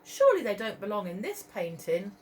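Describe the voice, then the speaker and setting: A woman's voice: medium pitch, big pitch swings, brisk, darkish tone, slight background noise, natural voice. A woman playing a keen, anxious young countrywoman in a storybook, speaking in urgent exclamations. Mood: amazed. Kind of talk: storybook character voice.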